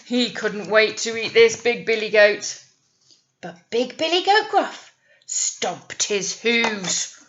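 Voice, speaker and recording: A woman's voice: fairly high pitch, lively intonation, slow, background noise. A British woman, a teacher, telling a story to young children expressively, with coaxing questions and asides, on a slightly dull recording.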